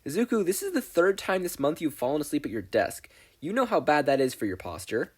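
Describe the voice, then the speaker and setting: A man's voice: a little high, sing-song, brisk, slightly rough, pitched above the performer's own voice. A man voicing a worried, firm and caring mother who scolds with concern, in a story reading. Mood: concerned, scolding.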